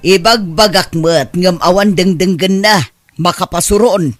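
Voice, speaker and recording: A woman with an Ilocano accent playing a bossy, moody grocery owner and mother in a radio drama, in a husky voice. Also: lowish voice, measured pace, lightly acted. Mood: irritated.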